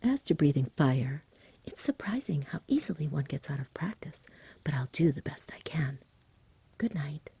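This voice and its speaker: A storybook dragon, read by a woman, whispering in a very breathy, close and dry voice. He is courteous, confident and old-fashioned, a bored fellow eager for society. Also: low voice, lively intonation, moderate pace, warm tone, no room sound, exaggerated voice.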